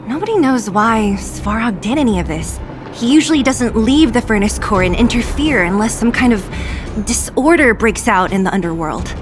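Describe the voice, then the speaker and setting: A woman's voice: mid-range voice, natural voice. A woman voicing a brash, cocky young female fighter in an anime-style video game, with a blunt, assertive way of talking. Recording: loud game music underneath. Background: game cutscene music.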